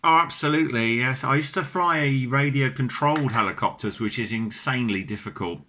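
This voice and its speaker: Bright-voiced British man on ham radio. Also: mid-range voice, fairly quick, a little hiss or hum. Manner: courteous, chatty. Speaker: amateur radio operator. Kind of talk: casual ham radio chat.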